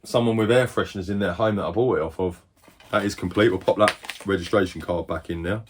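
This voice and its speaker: Low-voiced man chatting through his collection. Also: fast talker, slight background noise. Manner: rambling, chatty, self-correcting. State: losing his voice. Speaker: collector showing games. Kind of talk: casual collection show-and-tell.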